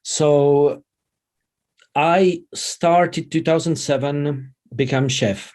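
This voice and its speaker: A middle-aged man with a Czech accent, chatting casually as an interview guest in halting, non-native English. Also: fairly high pitch, steady tone, a little mellow. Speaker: interview guest, vegan chef and former radio presenter.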